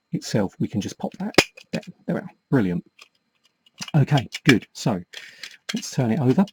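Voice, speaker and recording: Guy doing a hands on fix-it tutorial, husky and really mellow, patient, bit hesitant while he works. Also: medium pitch. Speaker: host of a toy repair tutorial. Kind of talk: casual step-by-step demonstration.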